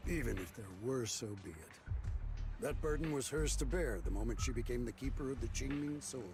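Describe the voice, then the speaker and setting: An actor playing a stern, duty-bound sect elder in a video game, with a solemn, authoritative way of speaking. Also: male voice, mid-range voice, expressive, a little fast, close to an everyday voice. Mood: grave, insistent. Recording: music behind the voice.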